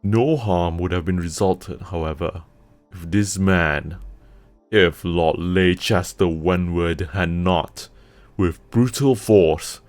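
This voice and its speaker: Storybook role: a stiffly polite rider, low and slow, read by a man, quite dry. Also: no room sound. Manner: measured, formal apology.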